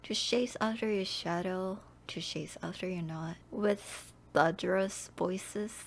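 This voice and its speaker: Woman acting an eerie, slow, solemn female narrator. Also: medium pitch, vocal fry. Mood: ominous, solemn. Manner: slow, solemn, hushed, drifting into whispers. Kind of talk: dramatic game story dub. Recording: music behind the voice. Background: music.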